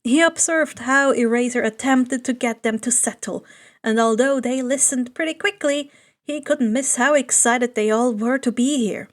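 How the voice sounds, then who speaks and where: Higher-voiced woman reading a story aloud. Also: normal speed. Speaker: podfic reader. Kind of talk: narrating fan fiction aloud.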